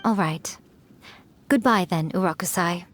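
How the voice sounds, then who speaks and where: A woman's voice: lowish voice, unhurried, slight background noise, close to an everyday voice. A woman playing a sly, playful centuries-old fox yokai in an English game dub, speaking in a coy, teasing, self-satisfied way. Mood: fond, composed.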